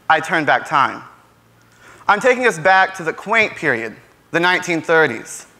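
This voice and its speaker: A man's voice: fairly high pitch, pushed and bright. A man performing a stage monologue as a frustrated, defiant young working-class man, with impassioned, sarcastic delivery.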